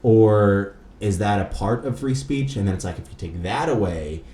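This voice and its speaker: A man's voice: lowish voice, moderate pace, mellow. A man hosting a podcast in a casual, informal way, rambling and questioning as he talks. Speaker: podcast host. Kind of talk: casual conversational interview talk. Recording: roomy echo with faint background noise.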